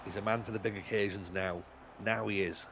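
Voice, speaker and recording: A man's voice: fairly low pitch, steady tone, brisk, gravelly, fairly bright tone, slight background noise. A man streaming a football management game live, thinking aloud and urging on his players, a bit congested with a cold. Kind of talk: live gameplay commentary.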